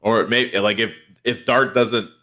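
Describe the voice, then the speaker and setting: A man's voice: lowish voice, fairly even intonation, a little slow, sharp tone. A man with a head cold, hosting a casual football podcast in a laid-back, rambling way with lots of fillers. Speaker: podcast host. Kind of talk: casual fantasy football chat.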